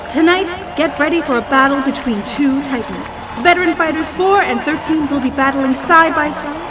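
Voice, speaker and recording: Gleeful high-voiced actress as an arena announcer. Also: female voice, natural voice. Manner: showy, gleeful, theatrical. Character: gleeful, bloodthirsty female arena announcer, showy host of death games. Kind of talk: excited fight commentary. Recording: loud music and crowd behind.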